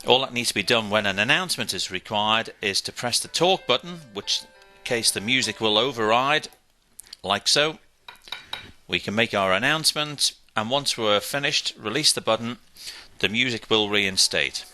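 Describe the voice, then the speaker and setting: Man, low pitch, very bright forceful tone, dry close sound, methodical instructional walkthrough. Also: animated, normal speed, faint music behind. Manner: methodical, step-by-step explaining. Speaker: product demonstrator. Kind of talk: hands-on instructional demonstration.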